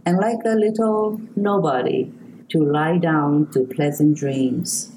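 A woman's voice: deep voice, warm tone, slight background noise, rough recording. An older woman from Hawaii with a Hawaiian accent, a poet reading her work and telling stories of her childhood in an interview. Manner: slow, measured, reflective storytelling. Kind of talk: poetry reading and interview answers.

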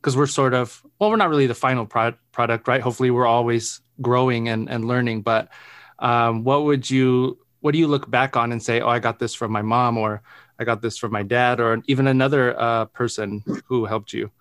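Male podcast host from Arizona, relaxed and friendly, halting delivery, dry sound. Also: mid-range voice, no room sound. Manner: relaxed, friendly, halting with repeated words and ums. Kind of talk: casual interview conversation.

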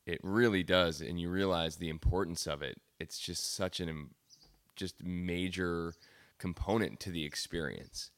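A man hosting an interview, speaking in a halting, friendly way with many restarts. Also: sits low, fairly even intonation, a bit bright. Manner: halting, restarts sentences, laughs easily. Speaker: interviewer, host. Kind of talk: casual interview chat.

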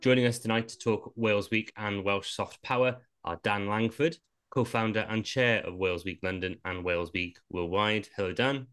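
Low-voiced relaxed podcast host, man. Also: normal speed, clear, resonant tone, soft background music. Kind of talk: hosting a podcast.

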